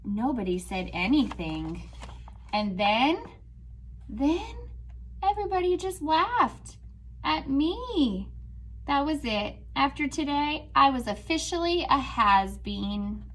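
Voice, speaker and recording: Woman teacher, read-aloud storytime, slow and animated delivery. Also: sits high. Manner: slow, expressive storybook reading to children.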